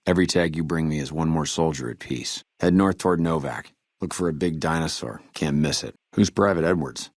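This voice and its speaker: Deep-voiced, weary army trooper. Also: male voice, brisk, few pauses, no echo, studio quality. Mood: grave, businesslike. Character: weary, dutiful army trooper guarding a ruined camp. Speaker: video game voice actor. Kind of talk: video game dialogue acting.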